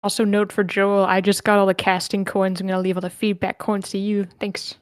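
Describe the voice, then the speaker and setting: A woman's voice: mid-range voice, flat delivery, a little fast, clean tone, no echo, studio quality. A woman casually moderating an online script read, calling on people and reading out comments, sometimes murmuring to herself. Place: indoors on an online meeting call.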